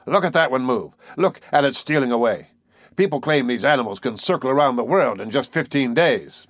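A man voicing an excitable, boastful Canadian whale harpooner in an audiobook, blunt and emphatic. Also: medium pitch, fairly quick, dry recording, studio quality. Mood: earnest storytelling. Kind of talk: audiobook character voice.

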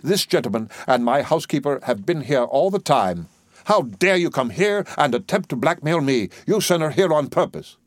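Guy doing a bossy scornful professor in a stage play, super animated pitch, dry close sound. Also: moderate pace, no room sound. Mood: indignant. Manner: declamatory, overbearing. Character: imperious, excitable male professor, scornful and bossy. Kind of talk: audiobook play reading.

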